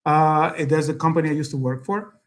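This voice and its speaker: A middle-aged man from El Salvador with a Salvadoran Spanish accent, a native Spanish speaker giving an earnest speech at an event, with many pauses and fillers. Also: fairly high pitch, fairly even intonation. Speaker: guest speaker.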